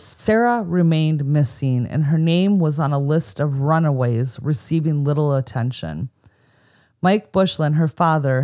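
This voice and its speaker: A woman's voice: sits low, dark tone. An American woman co-hosting a podcast, telling a true crime story from a script with casual asides. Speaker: podcast co-host.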